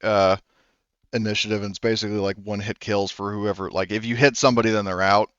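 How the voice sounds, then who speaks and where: A man acting as game master in a tabletop game, talking with frequent pauses and fillers. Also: lowish voice, little pitch movement, a bit bright, clear, resonant tone, no room sound, dull audio. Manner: hesitant, lots of fillers and restarts.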